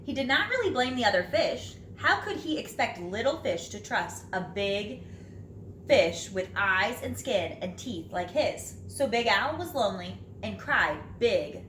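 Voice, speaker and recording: A woman teacher reading a children's storybook aloud in an expressive, dramatic way with drawn-out phrases. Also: medium pitch. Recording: roomy echo, light background noise.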